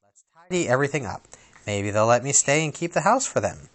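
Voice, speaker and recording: A man voicing a sweet, gentle young storybook princess with an innocent, trusting manner. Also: medium pitch, big pitch swings, brisk. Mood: delighted. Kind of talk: storybook character voice.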